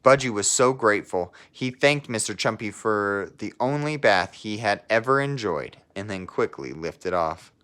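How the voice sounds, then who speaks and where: A man with a fairly low voice reads a children's story aloud. He narrates in a measured, teacherly way with pauses and slips into casual talk to his young listeners. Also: lively intonation, fairly bright tone, slight background noise.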